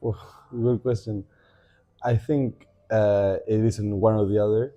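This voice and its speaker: Young argentine guy, low voice, really dark tone, talks it through slowly with lots of uhs. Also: fairly even intonation, lo-fi sound. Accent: Argentine Spanish. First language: Spanish. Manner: reflective, thinking aloud with many fillers and restarts. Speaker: guest. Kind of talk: casual podcast conversation.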